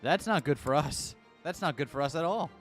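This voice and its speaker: A man's voice: on the high side, lively intonation, a little fast, fairly bright tone, soft background music. A man doing casual live gameplay commentary as a streamer, with joking, playful asides. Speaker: game streamer.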